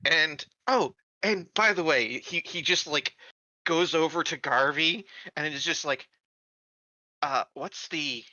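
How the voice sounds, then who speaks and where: Male RPG player, animated and bright, stammering delivery, dry slightly dull audio. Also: on the high side, no echo. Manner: halting, stammering, repeats first syllables. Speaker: tabletop roleplaying game player. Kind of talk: improvised in-character tabletop roleplay.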